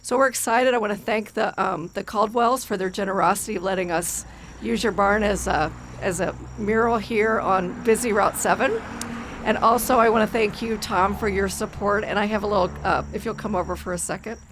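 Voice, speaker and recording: A woman giving friendly dedication remarks outdoors, pausing often with ums and restarts. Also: medium pitch, steady tone. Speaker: state commemoration official. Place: outdoors at a rural barn by a busy road. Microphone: handheld microphone. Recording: faint outdoor background noise.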